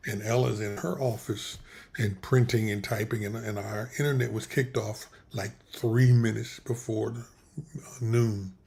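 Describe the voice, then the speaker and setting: A pastor hosts a casual livestream from his computer setup. His voice sits low and sounds gravelly, and he is losing his voice. He wanders and restarts his sentences as he talks. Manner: rambling, halting, many restarts. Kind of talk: casual livestream talk with prayer.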